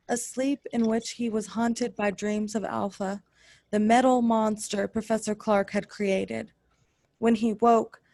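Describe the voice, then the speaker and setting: A woman's voice: mid-range voice, a little mellow, no echo. A woman reading scripted narration for a radio play as its storyteller.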